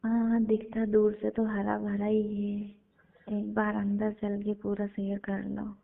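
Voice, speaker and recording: Female actor for coy, confident elder sister, audio roleplay; creaky, level voice through a thin phone connection. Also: mid-range voice, monotone, slow. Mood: inviting. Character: teasing, bold, self-assured elder sister flirting by phone. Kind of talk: improvised audio roleplay of a private phone call. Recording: muffled, narrow-band phone call audio.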